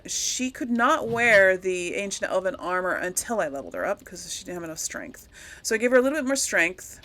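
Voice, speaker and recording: A woman's voice: mid-range voice, a bit bright. A woman doing casual game commentary, rambling and thinking aloud as she talks to herself. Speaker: gaming video host.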